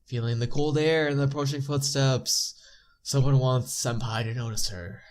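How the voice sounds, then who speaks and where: A man's voice: medium pitch, steady tone, moderate pace, darkish tone. A man with a stuffy nose reading visual novel narration aloud as a let's play narrator, adding casual commentary. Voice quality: stuffy-nosed. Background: game music.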